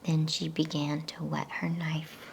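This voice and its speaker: A woman whispering a bedtime story in ASMR style, with a hushed, breathy, gentle delivery. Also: low voice, flat delivery, rough recording. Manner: slow, hushed, gentle pacing. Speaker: storyteller reading a bedtime book. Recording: faint background noise.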